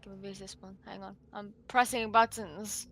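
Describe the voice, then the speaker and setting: A woman's voice: medium pitch, flat delivery, normal speed, fairly bright tone. A woman playing in a tabletop game, flustered as she works out the controls. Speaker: player. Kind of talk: casual game chat.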